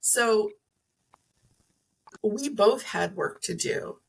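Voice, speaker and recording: A woman's voice: mid-range voice, expressive, very slow, creaky, slight background noise, rough recording. A middle-aged woman explaining things conversationally as an interview guest, earnest and emphatic, acting out imagined remarks. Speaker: podcast guest, energy healer.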